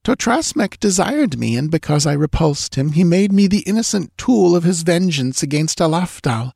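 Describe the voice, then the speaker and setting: A man's voice: a little high, big pitch swings, normal speed, vocal fry, darkish tone, slightly rough, no echo, studio quality, voice pushed up, heavily acted. A man voicing a frightened young woman, a dancing girl who pleads breathlessly. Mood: pleading.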